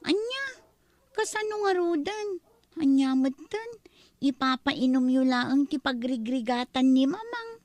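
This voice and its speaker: A woman's voice: high-pitched, expressive, a little slow, no echo. A woman acting exasperated, complaining women of a struggling family in a comedy drama, with an Ilocano accent. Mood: indignant.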